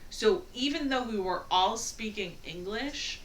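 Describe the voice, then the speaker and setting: A woman's voice: medium pitch, animated, unhurried, a little hiss or hum, poor audio. A woman from the northern US with a slight Boston accent on some words, chatting and telling stories while gaming on a live stream. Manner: chatty, storytelling, laughs easily. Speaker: stream co-host. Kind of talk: casual chat while gaming.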